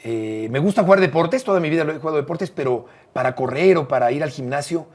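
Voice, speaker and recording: A man's voice: on the high side, fast, darkish tone. A middle-aged Mexican man with a Mexican accent, a radio broadcaster talking as an interview guest, earnest and affectionate in a casual conversation. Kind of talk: warm casual interview talk.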